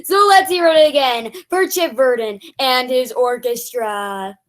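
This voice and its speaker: Woman acting a high-voiced, showy hepcat radio announcer. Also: a little slow, a little hiss or hum. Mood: pointedly aggrieved. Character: slick hepcat radio bandleader, showy and pointedly aggrieved. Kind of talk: 1940s radio broadcast acting.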